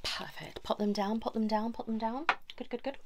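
A woman doing an ASMR role-play as a chiropractor, coaxing a patient in soft talk and whispers, with short repeated reassurances. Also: a little high, normal speed. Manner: soothing, coaxing, talks directly to a patient. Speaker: ASMR performer playing a chiropractor. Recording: lo-fi, noisy, with clicking sounds.

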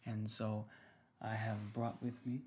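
A man's voice: fairly low pitch, flat delivery, a little slow, a little mellow, slight background noise. A young man talking casually to viewers in an ASMR style, with a soothing, affectionate, slangy manner. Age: young adult. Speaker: ASMR video creator. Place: small room at home, indoors. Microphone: desk mic close in front.

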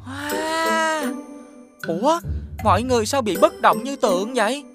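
Eager high-voiced schoolchild. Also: female voice, animated, measured pace, music in the background. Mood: amazed, grateful. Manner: childlike, wheedling. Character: eager, pleading young schoolchild painter. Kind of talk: children's 3D cartoon acting.

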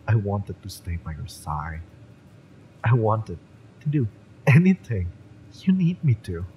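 A man playing a fiery, lovesick young mercenary bodyguard in a romance audio roleplay, speaking with halting, wounded emotion. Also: mid-range voice, slight background noise, rough recording. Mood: bitter longing.

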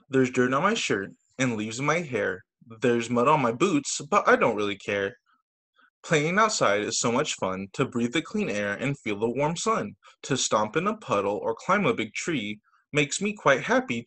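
A man, a teacher, reading children's stories aloud over a video call in an expressive, sing-song storytelling way. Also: medium pitch. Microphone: video call microphone.

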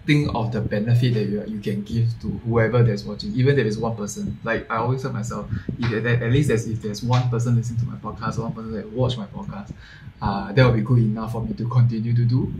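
A man's voice: lowish voice, steady tone, quick pace, hardly any pauses, dull audio, background noise. A young man in his twenties with a Singaporean English accent, a podcast guest talking earnestly over a video call and often repeating words as he thinks. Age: 20s. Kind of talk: casual video-call chat. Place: home room. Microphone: earphone mic on video call.

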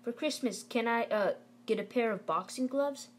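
A woman's voice: on the high side, normal speed, pitched above the performer's own voice, lightly acted. A woman reading aloud the part of an eager, cocky young boy who questions his father. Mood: eager, hesitant.

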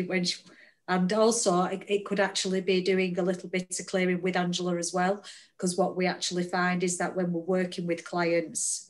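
Low, flat-voiced woman trainer. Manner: even, explanatory asides. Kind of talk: explaining to viewers. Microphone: video call.

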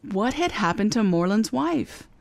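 An actress playing a wry, confident private detective who narrates a radio drama, speaking briskly. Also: female voice, mid-range voice, sing-song, gravelly, a little mellow, no echo. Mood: wry narration. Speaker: narrator and lead.

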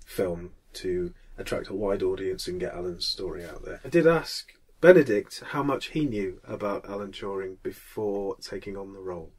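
A man's voice: sits low, expressive, normal speed, few pauses, darkish tone, slightly rough. A man in his thirties with a Mancunian accent, hosting a casual podcast chat. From Manchester, England. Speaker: podcast host.

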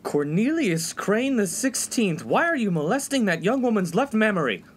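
A man's voice: high voice, sing-song, normal speed, breathy edge, full character voice. An actor playing an upright, indignant young 1950s college freshman in a comedic radio play, scolding sternly. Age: young adult.

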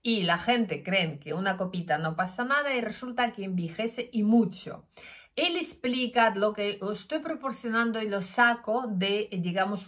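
A middle-aged woman with a Russian accent, talking casually to her phone camera about health, gesturing and pausing with fillers. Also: mid-range voice. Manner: animated, gesturing, many fillers and restarts. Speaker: health vlogger. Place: indoors, plain room with curtain backdrop. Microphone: phone camera, front-facing.

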